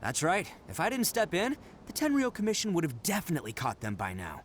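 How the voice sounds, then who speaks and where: A man's voice: on the high side, huge pitch swings, brisk, slight background noise. A man voicing a boisterous, proud young male Oni gang leader in a game cutscene, given to hearty laughs. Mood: earnest. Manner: boastful, hearty laughs, earnest when serious.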